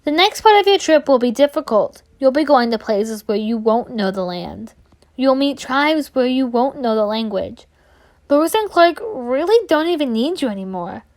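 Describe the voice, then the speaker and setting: An earnest, concerned brother and chief from a children's storybook, read by a woman in a high voice. He speaks persuasively, pleading with family. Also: sing-song, moderate pace, a little mellow. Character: concerned Shoshone chief, adult brother pleading with his sister. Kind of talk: children's storybook character reading.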